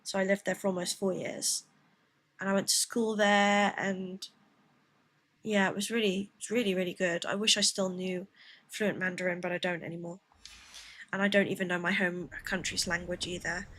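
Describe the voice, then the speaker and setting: A woman's voice: fairly low pitch, level tone, normal speed, a bit bright. A woman from the Philippines streaming a game from home, chatting with viewers in a rambling, chatty way with laughing asides. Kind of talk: casual gameplay chat with viewers.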